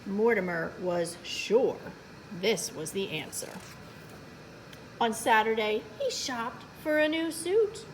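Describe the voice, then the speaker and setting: A woman's voice: fairly high pitch, lively intonation, slow pace, slight background noise, poor audio. A woman reading a picture book aloud for young children as a storytime host, playfully adding little asides to her listeners.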